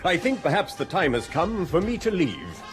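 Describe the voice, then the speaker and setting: An actor playing a pompous, condescending older official in a radio drama, with a sonorous voice. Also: male voice, sits high, huge pitch swings, moderate pace, soft background music. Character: pompous older male Arch-Community-Songster.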